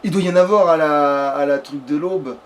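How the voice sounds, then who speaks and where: A man's voice: fairly high pitch, normal speed. A man doing casual, rambling let's play commentary with a friend, swearing crudely as he goes. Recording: background noise.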